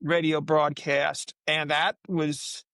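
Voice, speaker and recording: Male podcast panelist, high pitch with heavy fry, rambling casual fan talk, dry sound. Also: little pitch movement, slow pace, pushed and bright, no echo.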